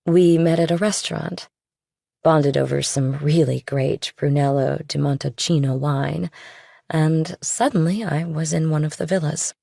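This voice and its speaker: A woman's voice: sits low, steady tone, a little slow, gravelly, warm tone, no echo, clean studio sound, full character voice. A woman playing a young, worldly, guarded girlfriend in an audiobook, speaking casually and directly. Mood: breezy. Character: young worldly girlfriend of a rich older man, guarded, pointed. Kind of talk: audiobook character dialogue.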